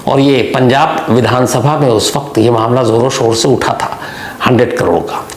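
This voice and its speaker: A man with a gravelly voice telling a true-crime story as a monologue, deliberately, posing rhetorical questions to the listener. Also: mid-range voice. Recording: echoey room with faint background noise.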